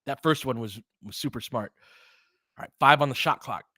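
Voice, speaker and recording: A man's voice: medium pitch, moderate pace, bright, forceful tone, breathy edge, dry recording, clean studio sound. A man giving informal live basketball play-by-play as a partisan commentator who urges on the home team.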